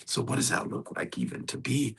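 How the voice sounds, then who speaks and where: A hoarse man hosting a motivational coaching talk, speaking in an earnest, exhorting and conversational way. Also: a little fast. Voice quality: gravelly, husky. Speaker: host.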